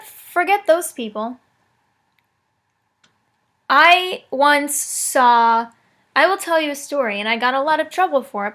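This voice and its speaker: A woman's voice: high-pitched, fairly bright tone. A woman making a prank phone call, talking in a mock-serious, teasing way. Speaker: prank caller.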